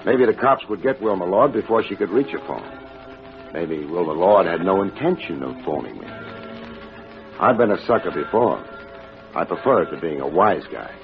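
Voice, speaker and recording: Deep-voiced deadpan private eye. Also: male voice, normal speed, creaky, heavily acted. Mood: wry, brooding. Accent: American. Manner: deadpan, wisecracking. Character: wry, unflappable, soft-hearted private detective. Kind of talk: 1950s radio detective drama acting. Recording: dull old radio recording, music behind.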